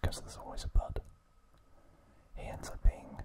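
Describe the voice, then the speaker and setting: A man whispering a trivia recap as an ASMR host, in breathy, unvoiced speech broken into short, deliberate phrases.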